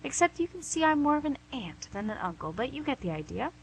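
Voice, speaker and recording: A woman's voice: high-pitched, very animated, fast talker. An actress playing the Devil as a playful, charming, smug female boss in a comic radio play, chatty and theatrical. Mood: playful, vain. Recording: noisy amateur recording with hum.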